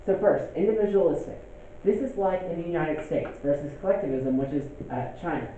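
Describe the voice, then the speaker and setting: A young male teacher gives a casual classroom lecture, often pausing to ask "okay?". His voice sits a bit high for a man. The sound is thin and phone-like, with heavy room echo and a faint hiss. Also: mellow. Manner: conversational, checks in with "okay?".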